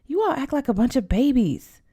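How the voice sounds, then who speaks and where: A woman's voice: high voice, sing-song, fairly quick, mellow, slightly rough, voice pushed up, heavily acted. A woman voicing a dismissive, boy-struck teenage girl with a curt, exasperated manner in an audiobook. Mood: annoyed. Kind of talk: acted audiobook dialogue.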